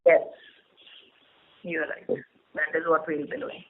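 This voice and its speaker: A woman's voice: low-pitched, little pitch movement, unhurried. A woman company chief answering business questions in an explanatory way, point by point, on a conference call. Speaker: company managing director on an earnings call. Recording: narrow muffled phone conference line.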